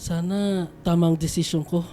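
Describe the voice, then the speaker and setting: A man's voice: fairly high pitch, steady tone, moderate pace, mellow, soft background music, lightly acted. An actor playing an earnest, anxious, lovestruck young man in a radio drama, with a Filipino accent. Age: young adult.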